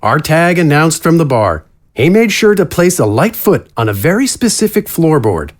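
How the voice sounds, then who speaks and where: A man's voice: a little high, very animated, normal speed. A man narrating a scripted fantasy story as its narrator.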